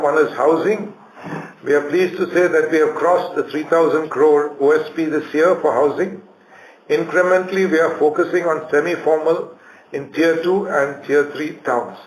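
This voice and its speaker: A man with an Indian accent giving a prepared corporate presentation as an executive on an earnings call. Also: fairly high pitch. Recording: roomy conference line with faint noise.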